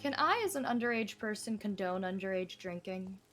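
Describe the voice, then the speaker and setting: A woman in her twenties chats through a game stream in a casual, joking way. Her voice is bright and a little sharp, and her pitch swings widely as she reacts and answers her viewers. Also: animated, brisk, faint music behind. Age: 20s. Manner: joking, chatty, answering chat. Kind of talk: casual live gameplay chat.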